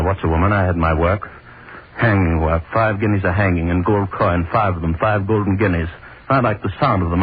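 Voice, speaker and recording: A cruel, money-hungry executioner, as a male actor plays him, talking in a very deep, low voice that gloats and broods by turns. The sound is a thin, phone-narrow 1930s radio broadcast with faint hiss beneath it. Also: natural voice. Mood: brooding. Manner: gloating, brooding, lingers on words. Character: obsessive middle-aged male hangman, gloating and cruel. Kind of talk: 1930s radio drama acting, first-person monologue.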